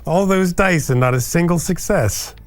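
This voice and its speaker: A man's voice: a little high, normal speed. A man playing a tabletop game, chatting casually as he describes his moves. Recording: music behind the voice.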